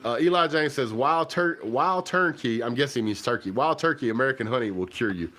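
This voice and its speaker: A man, chatting casually to viewers on a livestream while stuffy and hoarse from a cold. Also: mid-range voice, animated, brisk, fairly bright tone. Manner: rambling, folksy, talks to viewers directly. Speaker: livestream host. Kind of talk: casual livestream chat about football. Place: home kitchen.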